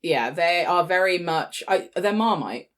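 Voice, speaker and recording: A woman's voice: on the low side, lively intonation, measured pace. A British woman in her late twenties chatting casually as a podcast co-host, in a chatty, informal way. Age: late 20s. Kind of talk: casual chat.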